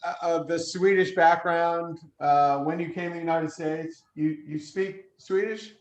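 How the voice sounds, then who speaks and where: A male reporter puts casual questions to a player, hesitating and using fillers. His voice sits a little high. The recording carries a roomy echo. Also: fairly even intonation, a little slow, lo-fi sound. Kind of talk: press conference questions.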